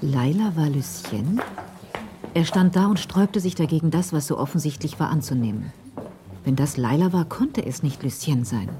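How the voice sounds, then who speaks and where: A woman narrating a crime radio drama in a cool, measured, literary style. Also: low-pitched, expressive, dark tone, a little hiss or hum.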